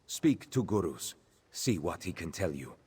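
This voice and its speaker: A man voicing a gentle cat-folk former captive in a video game, speaking in a measured, formal way and referring to himself in the third person. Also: low-pitched, big pitch swings, slightly rough, full character voice. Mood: measured, informative.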